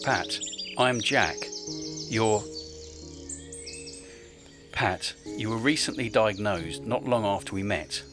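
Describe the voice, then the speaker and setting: A man's voice: fairly low pitch, slow pace, pauses often, music in the background. A man playing a smitten, persuasive property developer in a radio drama. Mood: gentle.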